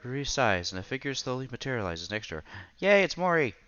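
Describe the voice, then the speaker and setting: A man's voice: medium pitch, sing-song, fast, sharp tone, dull audio. A man streaming a visual novel, reading its narration and dialogue aloud expressively. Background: game music.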